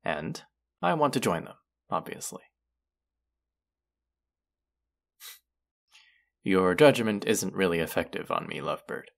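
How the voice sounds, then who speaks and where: A condescending young college man, played by a male actor in an audio roleplay, with a very deep voice edged in gravelly fry. He drawls with haughty, sardonic smugness, speaking straight to the listener. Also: very animated, moderate pace, exaggerated voice. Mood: smug, scornful. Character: condescending, smug young male college rich-club hopeful.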